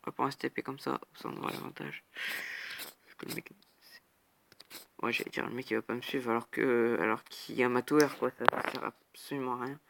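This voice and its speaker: A man's voice: mid-range voice, fairly even intonation, fairly quick, creaky, long pauses, background noise. Brief: young male gamer, a bit congested, casual rambling play-by-play with "hop, bam" sound words. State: has a cold, sniffly. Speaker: gaming YouTuber. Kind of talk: casual gameplay commentary.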